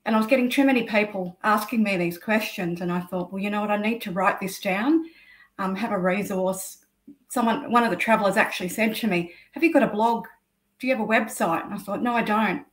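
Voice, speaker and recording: A woman's voice: mid-range voice, fairly quick. Australian woman on an echoey video call. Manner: chatty, explanatory, many "um"s. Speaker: guest, travel blogger. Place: indoor room.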